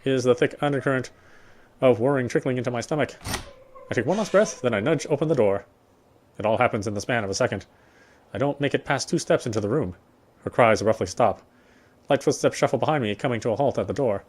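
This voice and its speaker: A man streaming a game, reading its text aloud as measured, dramatic narration with occasional stumbles. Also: mid-range voice. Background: game music.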